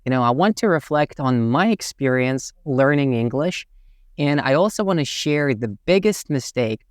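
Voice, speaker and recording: Russian-accented young man with a smooth voice. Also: medium pitch, animated, moderate pace, no room sound, clean studio sound. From Tatarstan, Russia. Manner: thoughtful, with many fillers like "you know" and "right". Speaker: English teacher, channel host. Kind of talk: reflective talk to camera.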